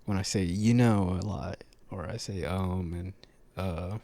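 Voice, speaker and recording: A man talking to camera in a casual, reflective monologue, slightly nasal, halting and full of pauses and fillers. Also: low voice, fairly even intonation, vocal fry, mellow. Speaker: vlogger.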